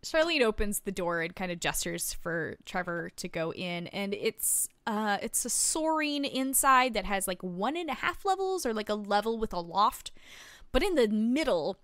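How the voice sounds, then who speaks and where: A woman's voice: medium pitch, lively intonation, moderate pace, fairly bright tone, no echo. A woman improvising scene descriptions as game master of a tabletop role-playing game.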